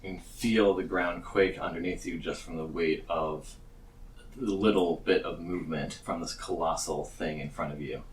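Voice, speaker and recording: A man narrating a tabletop fantasy game as game master, dramatic and deliberate. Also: sits low, steady tone. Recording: echoey, slightly distant, faint ambient noise.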